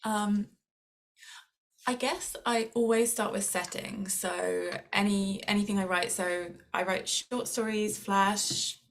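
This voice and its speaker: Woman with a gravelly, creaky voice. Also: mid-range voice, fairly even intonation, takes it slowly. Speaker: short story writer. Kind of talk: casual talk about own writing process.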